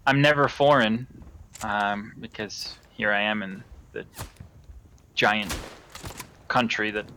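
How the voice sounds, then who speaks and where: A man's voice: on the low side, takes it slowly, pushed and bright, slight background noise. A young American man chatting casually while playing a game with a friend. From United States. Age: young adult. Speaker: co-player in a gaming video. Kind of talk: casual chat while gaming.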